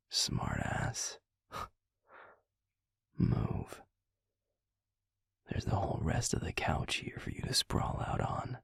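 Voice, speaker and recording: A man performs a gruff young werewolf boyfriend for an intimate audio roleplay. His voice is extremely low and full of gravel, breathy to the edge of a whisper. He drawls slowly, with dry sarcasm and grudging affection. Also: no echo, heavily acted. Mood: gruff, bossy. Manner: slow teasing drawl with heavy sighs, dry sarcasm.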